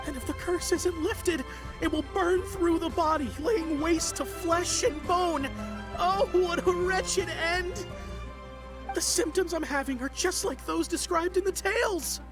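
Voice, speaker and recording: A frightened young villager stammers and pleads in a panicky rush. The voice is high. Game music plays clearly behind. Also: female voice, unhurried, rough recording. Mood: dramatic. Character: frightened young villager convinced they are cursed.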